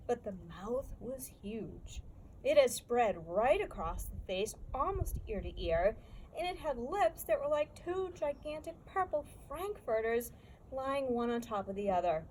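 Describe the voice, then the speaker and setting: A woman reads a children's story aloud with expression. Her voice sits a little high. Clear background noise runs through the recording. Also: sing-song, a little slow, darkish tone, rough recording. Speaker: story reader.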